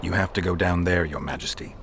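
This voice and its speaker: A stoic monster hunter for a video game, his voice very low and forceful. He speaks in terse, matter-of-fact lines with a gravelly, world-weary dryness, over faint background noise. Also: fairly even intonation, a little fast. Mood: calm, instructing.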